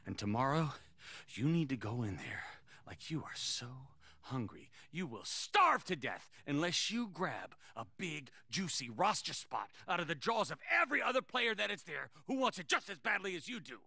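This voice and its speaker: A bright, high-pitched male hustler works as a small-time sports agent. He swoops through grandiose, theatrical pep talk like a salesman in a video game scene, recorded close and dry. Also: big pitch swings, normal speed, creaky, no room sound, full character voice. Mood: fired up, intense. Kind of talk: acted video game cutscene dialogue.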